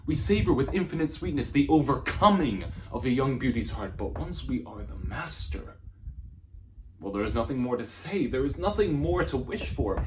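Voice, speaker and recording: A man's voice: mid-range voice, big pitch swings, normal speed, darkish tone, close to an everyday voice. A man performing an arrogant, passionate womanizing nobleman in a theatrical, declamatory classical stage monologue. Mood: grandiose, boastful. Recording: noisy amateur room recording.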